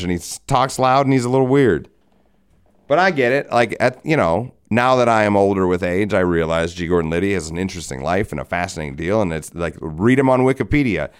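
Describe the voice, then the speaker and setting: A man in his forties hosting a solo podcast, hoarse from a head cold, rambling and cursing with lots of restarts. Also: fairly low pitch. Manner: rambling, profane, self-mocking, frequent restarts. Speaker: podcast host. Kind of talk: solo casual podcast talk.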